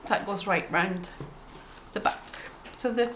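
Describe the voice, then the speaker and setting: A woman with a cold, sounding a bit croaky, chatting gently through a show-and-tell of her handmade journal with frequent ums and throat clearing. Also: medium pitch, takes it slowly, a little hiss or hum. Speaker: craft journal maker showing her work.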